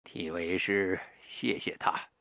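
A man voicing a wounded old Taoist master, gruff, weak and breathy, in an audiobook. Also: sits low, flat delivery, takes it slowly, sharp tone, no echo, studio quality, pitched below the performer's own voice, full character voice. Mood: grudging, weary.

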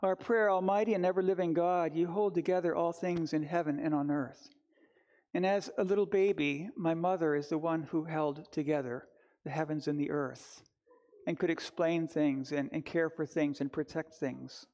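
A man's voice: fairly high pitch, steady tone, normal speed, a little mellow. An older man preaching as a pastor, telling personal stories in a reflective, conversational way. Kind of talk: informal storytelling sermon. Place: church sanctuary, standing before the altar.

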